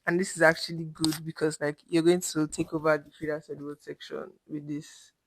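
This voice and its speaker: A woman's voice: sits low, little pitch movement, brisk, no room sound, rough recording. A woman hosting a podcast, chatting casually and supportively, often dropping in short agreements. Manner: supportive, many short backchannels. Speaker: podcast host. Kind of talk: casual chat.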